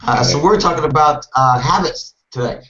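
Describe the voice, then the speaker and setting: Teacher, man, bit hoarse from a cold, easygoing tone, computer mic held back at arm's length. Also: a little high, moderate pace, a bit bright, muffled recording. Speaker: English teacher. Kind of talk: casual online lesson chat.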